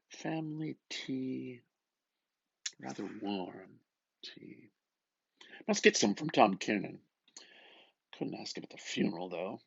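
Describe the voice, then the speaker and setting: A man giving an expressive, theatrical reading of literary prose, lingering over his phrases in a slightly muffled recording. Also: mid-range voice, creaky, slightly rough, no room sound. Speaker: reader, artist. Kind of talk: dramatic literary reading aloud.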